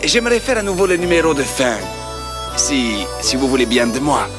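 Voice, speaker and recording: An actor playing a proud, courteous and eager circus star in a dubbed cartoon. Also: male voice, mid-range voice, sing-song, brisk, natural voice. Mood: humble, eager. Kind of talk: cartoon dub acting. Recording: loud music over the voice.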